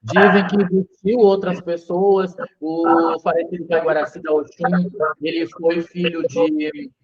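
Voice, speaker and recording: A man hosting an interview, speaking in a respectful, affirming way with drawn-out phrases. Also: a little high, level tone. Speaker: podcast host, interviewer. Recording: muffled lo-fi recording.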